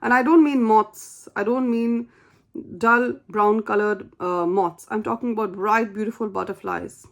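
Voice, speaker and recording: A woman's voice: medium pitch, fairly even intonation, measured pace, gravelly. A woman whose first language is not English, giving an earnest, encouraging tarot reading and repeating key phrases for emphasis. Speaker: tarot reader.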